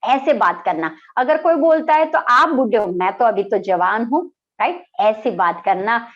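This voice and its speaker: A woman's voice: fairly high pitch, animated, unhurried. A middle-aged woman, a naturopathy doctor, giving chatty, instructive skincare advice and often slipping into English phrases. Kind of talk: casual health talk. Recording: dull lo-fi sound.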